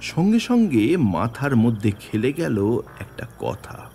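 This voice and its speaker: A man narrating a horror story in the first person, with suspenseful, theatrical, deliberate phrasing over music. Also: medium pitch, wildly swooping pitch, creaky, mellow.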